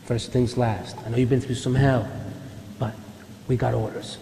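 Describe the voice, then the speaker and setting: A man's voice: mid-range voice, flat delivery, normal speed, vocal fry, a little hiss or hum, close to an everyday voice. A male actor playing a businesslike American military squad leader in a game cutscene, terse and commanding with soldier slang. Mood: businesslike, urgent. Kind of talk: video game cutscene acting. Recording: echoey hall reverb under loud music.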